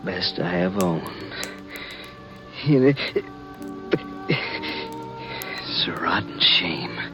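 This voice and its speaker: A very low, slow-talking rural American outlaw struggles along, weak and breathy from his wounds. He is boastful and desperate, his words halting, in a 1950s radio western on a dull old recording. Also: sing-song, exaggerated voice. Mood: regretful, weary. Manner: halting, laboured, folksy drawl. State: weak, gravely wounded, acted. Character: dying, boastful male outlaw, stubborn and desperate. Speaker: supporting actor in a radio western. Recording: dull vintage radio recording, music behind.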